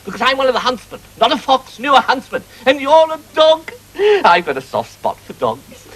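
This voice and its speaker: High, swooping, cheeky fox puppet. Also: male voice, very animated, normal speed, fairly bright tone, full character voice. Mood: cheeky, jovial. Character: cheeky boisterous fox puppet with posh huntsman airs. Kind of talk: pantomime comedy patter.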